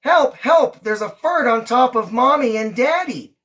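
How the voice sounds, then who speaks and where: A woman's voice: a little high, lively intonation, measured pace, fairly bright tone. A woman voicing an alarmed young girl in a storybook, urgent and exclaiming. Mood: alarmed, calling out.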